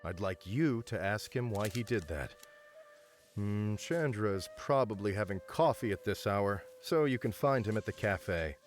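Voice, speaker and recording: A man's voice: lowish voice, expressive, measured pace, soft background music. A man voicing an officious research office supervisor in a video game, formal, polite and businesslike. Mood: stern but polite. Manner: formal, polite, mildly reproachful.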